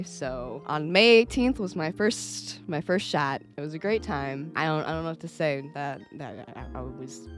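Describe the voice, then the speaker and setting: A young man talking casually to camera at home, rambling and candid, swearing often and laughing between thoughts. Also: on the high side. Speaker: vlogger. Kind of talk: casual vlog chat.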